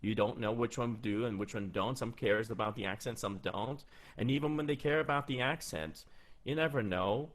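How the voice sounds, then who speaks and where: A man's voice: mid-range voice, moderate pace. A man in his thirties, a voice actor, answering interview questions in a loose, chatty way with frequent restarts, recorded on a studio mic at home. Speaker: voice actor giving career advice. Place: small room at home, indoors. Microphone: large studio mic with pop filter, headphones on.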